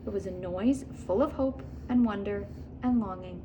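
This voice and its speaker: A woman reading a children's story aloud in an expressive, animated storytelling manner. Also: medium pitch, moderate pace, lo-fi sound, a little hiss or hum. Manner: animated, acts out dialogue and animal sounds. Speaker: children's book reader. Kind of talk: expressive read-aloud storytelling with casual asides.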